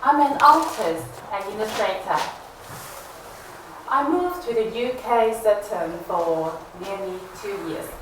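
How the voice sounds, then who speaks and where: A woman from Macau, telling family stories slowly and gently in a live performance. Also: medium pitch, animated, background noise. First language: Cantonese. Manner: slow, gentle, reflective storytelling. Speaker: artist and storyteller. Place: venue hall with an audience. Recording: echoey hall from far off with music. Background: instrumental music.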